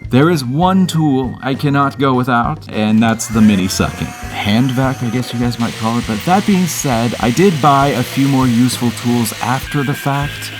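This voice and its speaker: A man's voice: medium pitch, lively intonation, moderate pace, hardly any pauses, over music. A man hosting a do-it-yourself video with casual, wry, self-deprecating voice-over narration.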